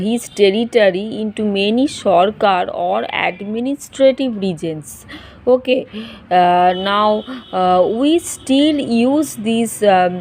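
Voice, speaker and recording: A woman teacher with a Bengali accent giving a lesson, pausing often with fillers and switching to Bengali to explain. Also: mid-range voice, darkish tone. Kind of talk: classroom lecture.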